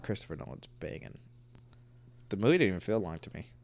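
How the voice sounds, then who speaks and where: A man co-hosting a podcast in casual chat, animated and quick to laugh, acting out little quotes. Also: lowish voice, a little hiss or hum. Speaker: podcast co-host.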